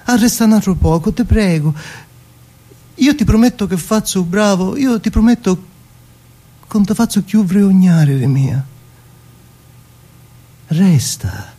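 An actor playing a naive, tender man from the margins recalling his childhood in a Sicilian accent, in a halting, childlike theatrical radio monologue. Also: sits high, expressive, mellow, slightly rough, full character voice. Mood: pleading.

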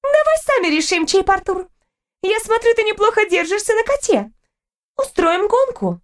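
A haughty young woman played by a female narrator, pitched very high and shrill. She is demanding, jealous and hot-tempered, a fantasy storybook rival. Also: big pitch swings, normal speed. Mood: challenging.

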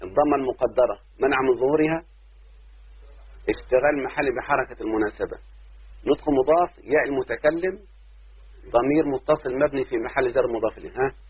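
A man with an Egyptian accent teaches grammar as a sheikh, taking it slow and deliberate and checking in with his listeners. His voice is husky and sits at an ordinary middle pitch. It comes through a dry, tinny, phone-like line. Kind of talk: explaining grammar in a lesson.

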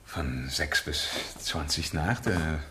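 A man's voice: a bass voice, takes it slowly. A man playing a nonchalant, flippant painter in a radio play, answering in a casual, teasing, offhand way. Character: flippant, nonchalant male painter under suspicion. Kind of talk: German radio play acting. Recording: faint noise in studio recording.

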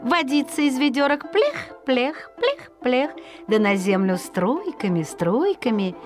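High, very lively woman storyteller. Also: soft background music. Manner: singsong folksy storytelling with drawn-out repeated words. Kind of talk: expressive scripted narration of a children's folk tale.

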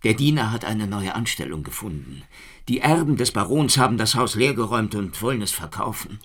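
An actor playing a weary, flustered police inspector in a radio drama, anxious and deferential. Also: male voice, on the low side, lively intonation, a little fast, fairly bright tone, breathy edge, full character voice. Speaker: Inspector Ganimard.

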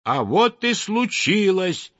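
A man voicing a lively little female titmouse, chirping and giggling in a storybook reading. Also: high-pitched, slow pace, dry recording, muffled recording, voice pushed up, full character voice. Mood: giggly. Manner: chirpy, laughing.

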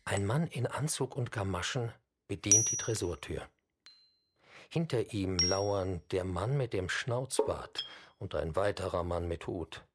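A man's voice: sits low, expressive, unhurried, very noisy. A man reading scripted documentary narration for a radio play.

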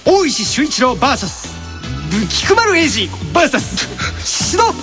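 A man hosting a radio show with lively banter and game announcements, playful, polite and easily flustered. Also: very high voice, normal speed, music in the background.

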